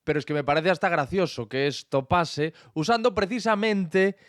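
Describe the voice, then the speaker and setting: A man's voice: a little high, moderate pace, no room sound, clean studio sound, lightly acted. A man playing a laid-back podcast host, chatting informally and repeating himself as he thinks. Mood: bemused, casual. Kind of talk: casual chat.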